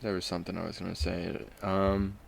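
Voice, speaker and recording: A man's voice: low voice, little pitch movement, brisk, creaky. A man co-hosting a podcast in casual chat, tossing in silly, absurd jokes. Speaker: podcast co-host.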